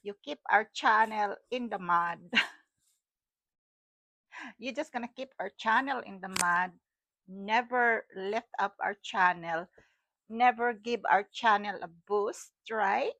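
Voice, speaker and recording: A woman's voice: medium pitch, slow pace, pauses often, sharp tone, no echo, low-quality recording. A middle-aged Filipina woman with a Cebuano accent, a wellness vlogger rambling casually to camera, repeating her phrases and laughing often. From Philippines.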